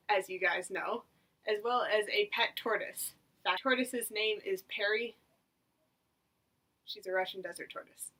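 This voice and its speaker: A woman's voice: fairly high pitch, bright, forceful tone, far from the mic, poor audio. A young woman of about eighteen from the United States, talking casually to her camera as a vlogger in a chatty, rambling, self-mocking way. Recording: voice a little away from the mic.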